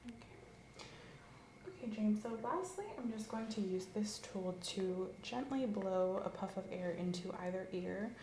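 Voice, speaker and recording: A woman speaks softly and calmly, walking through a check-up like a gentle nurse and at times slipping into a whisper. Her voice sits at a middle pitch. The room sounds roomy and echoey, with steady ambience clearly audible behind her. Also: measured pace, a little hiss or hum. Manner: calm, gentle, clinical instructions, slipping into whispers. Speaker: ASMR creator playing a nurse. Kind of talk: ASMR medical examination roleplay.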